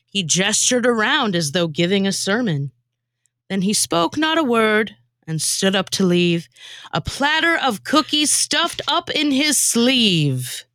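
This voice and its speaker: Woman doing comedy podcast stuff, theatrical storyteller, really swoopy pitch, dry studio sound. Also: takes it slowly, no room sound. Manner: theatrical, animated delivery. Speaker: podcast former co-host and guest performer. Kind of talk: comic verse storytelling and casual podcast chat.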